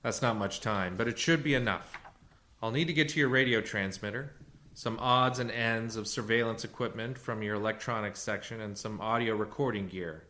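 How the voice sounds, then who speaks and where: An actor playing a calm, shrewd fugitive leader and technician in a radio science fiction drama, with a measured, dry, authoritative manner. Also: male voice, lowish voice. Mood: focused, dry.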